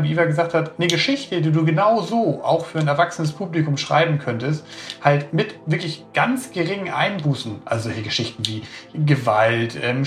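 A man's voice: on the high side, echoey room. A man author talking casually and enthusiastically about writing, rambling with many fillers. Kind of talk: casual interview talk.

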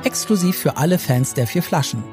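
A man reading a scripted advertisement in a smooth, promotional delivery. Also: fairly high pitch, animated, brisk, loud music behind the voice. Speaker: ad announcer. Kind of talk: scripted ad read.

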